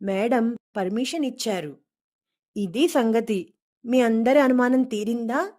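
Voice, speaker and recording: A woman's voice: a little high, a little slow, mellow, natural voice. A woman storyteller voicing a bossy schoolgirl class leader, commanding and scolding, in a children's story.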